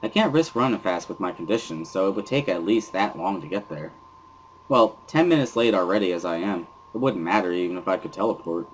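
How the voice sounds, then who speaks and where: A man's voice: low-pitched, expressive, brisk, a little hiss or hum, poor audio. A man giving an amateur dramatic reading of first-person narration from a visual novel. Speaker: narrator and reader. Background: music.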